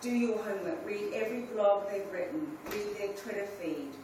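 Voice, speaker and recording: Middle-aged New woman, panel speaker, candid and conversational; distant mic in a boomy hall with noise behind her. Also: normal speed. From New Zealand. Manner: conversational, direct, restarts phrases. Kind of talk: informal conference talk. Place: large conference hall. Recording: very echoey hall from far off, with ambient noise.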